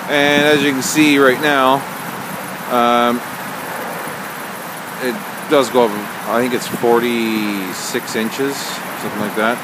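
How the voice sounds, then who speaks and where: A man giving a casual, unscripted walkthrough as an amateur vlogger, speaking haltingly with frequent pauses. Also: mid-range voice, steady tone. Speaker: amateur vlogger showing an installation. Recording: clear car and outdoor background noise.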